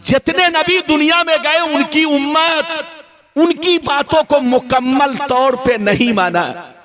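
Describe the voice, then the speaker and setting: A man's voice: very high-pitched, slow, a bit bright. A man delivering an impassioned Islamic sermon as a preacher, declaiming stories, repeating phrases for emphasis and urging listeners to respond. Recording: live gathering with crowd noise.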